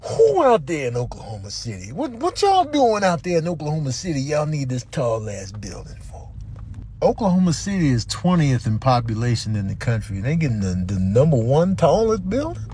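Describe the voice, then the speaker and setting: A man's voice: on the high side, very animated, moderate pace, warm tone, a little hiss or hum. A man doing comedic voiceover commentary in an exaggerated, incredulous, slangy way.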